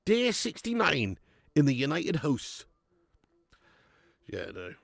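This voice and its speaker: A man hosting a live fan watch-along of a football match at home, chatting to viewers with sweary banter and bursting into loud cheering at chances. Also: on the high side, wildly swooping pitch, takes it slowly, vocal fry, dry recording, clean studio sound. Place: at home in front of a camera.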